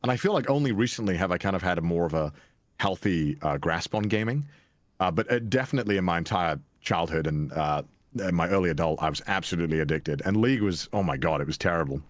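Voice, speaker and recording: A young Welsh man being interviewed, telling stories from his past in a loose, self-deprecating way. His voice sits very low, and the recording is close and dry with no room sound. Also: lively intonation, quick pace, dry recording. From Wales. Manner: chatty, self-deprecating, frequent restarts and laughs. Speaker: guest. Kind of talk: casual interview chat.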